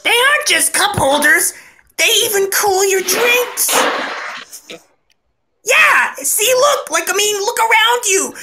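A woman plays a boastful, excitable creature in a comic game dub. The voice is extremely high and unhurried. Clear noise and clicks run through the recording. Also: heavily acted. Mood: excited. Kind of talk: improvised comedy fan dub.

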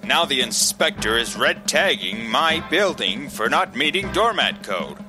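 An indignant, blustering business owner acted by a man in a radio comedy. He complains in a pompous, melodramatic way, with clear music playing underneath. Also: medium pitch, big pitch swings, a little slow. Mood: indignant, ranting. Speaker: actor in a comedy radio play. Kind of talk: comedic radio theater acting.

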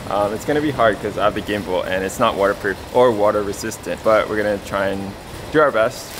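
A man's voice: on the low side, fast, noisy background. A man in his twenties talking casually to the camera as a vlogging photographer out on city streets, recorded on a camera at arm's length. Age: 20s. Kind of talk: casual vlog talk to camera. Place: city streets, station and covered alleys, outdoors. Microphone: camera held at arm's length. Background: music.

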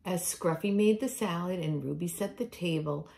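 A middle-aged woman reading a picture book aloud for young children in a deliberate, expressive storytelling way, indoors at home. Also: on the low side, creaky.

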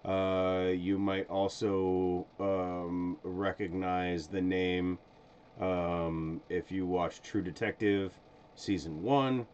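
A middle-aged man gives casual live commentary in a deep voice. He speaks slowly and hesitantly, feeling his way through phrases. There is faint noise in the recording. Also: flat delivery, a little mellow. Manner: hesitant, halting. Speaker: streamer host. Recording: dull sound, faint background noise.

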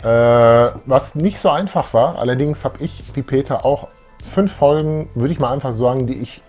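A middle-aged man with a husky voice of medium pitch chats as a guest. He is casual and jokey in his phrasing, with music in the background. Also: moderate pace, a little mellow. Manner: colloquial, jokey. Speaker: guest on a fan show. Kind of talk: casual fan chat. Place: small room full of posters and collectibles, on a couch.

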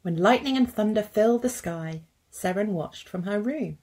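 Casting: female teacher, thirties, children's story narrator, mid-pitched, husky, deliberate phrasing. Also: lively intonation, darkish tone. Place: indoors at a computer.

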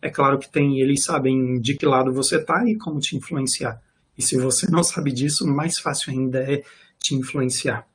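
A Brazilian man gives an earnest, explanatory online lecture, often hesitating mid-sentence. His voice is slightly husky and sits at an ordinary male pitch. He speaks through a video-call microphone from an indoor room. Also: steady tone, moderate pace, a bit bright. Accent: Brazilian Portuguese.

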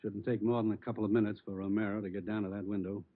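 An actor playing a practical police helper, brisk and matter-of-fact, in an old-time radio drama. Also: male voice, fairly low pitch, level tone, natural voice. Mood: businesslike. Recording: tinny, faintly noisy old radio recording.

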